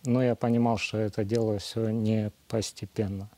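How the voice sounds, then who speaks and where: A man's voice: lowish voice, flat delivery, normal speed, dark tone, no echo. A man of thirty sharing his path to yoga at a retreat, earnest and fond of allegory. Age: 30s. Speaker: retreat participant. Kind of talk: personal testimony.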